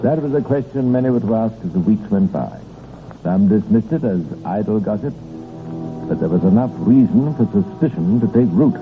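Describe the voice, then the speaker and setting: A British man narrating a 1950s radio crime drama in a deliberate, dramatic, knowing way. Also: fairly low pitch, animated, music in the background, close to an everyday voice. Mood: grave. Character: measured, knowing male crime-case narrator. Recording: tinny, noisy 1950s radio recording.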